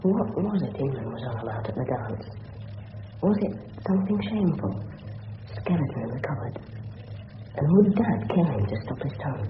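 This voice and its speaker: A male actor plays a teenage English schoolboy, well-mannered yet privately knowing and anxious. His voice is very animated, in an echoey, noisy old radio drama recording. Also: mid-range voice, moderate pace, phone-quality sound, close to an everyday voice. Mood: musing, confiding. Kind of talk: 1970s British radio drama acting.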